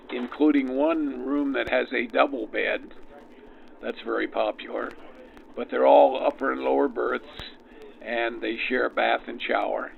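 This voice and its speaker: A man giving unscripted explanations in an interview, in measured, halting phrases, with a vintage 1940s radio effect. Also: mid-range voice, gravelly. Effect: vintage 1940s radio filter. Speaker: private railcar host, interview guest. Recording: tinny narrow-band old radio sound.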